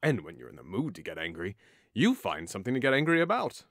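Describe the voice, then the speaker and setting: Guy acting a cocky hothead young noble, taunting and theatrical, very animated pitch, dry studio sound. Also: quick pace, no room sound, heavily acted. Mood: teasing, mocking. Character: hot-headed, mocking young nobleman itching for a sword fight. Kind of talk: audio drama acting.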